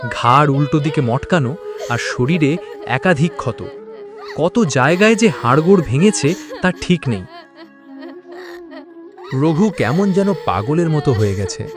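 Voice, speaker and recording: A man narrating a scripted horror story with dramatic, suspenseful delivery. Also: a little high.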